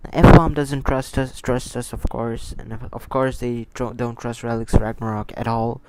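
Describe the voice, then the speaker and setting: A man's voice: mid-range voice, flat delivery, moderate pace, background noise. A man who is not a native English speaker hosts a gaming livestream, chatting casually and repeating himself as he comments on matches. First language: a language other than English, not Hindi. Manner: rambling, repeats himself, answers chat as he goes. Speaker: esports livestream host and commentator. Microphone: close microphone.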